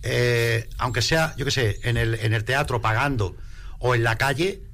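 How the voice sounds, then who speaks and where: A man's voice: medium pitch, unhurried, a bit bright. A man from Spain with a Spanish accent, a singer giving a casual radio interview in an emphatic way, repeating his phrases. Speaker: singer in a rumba band.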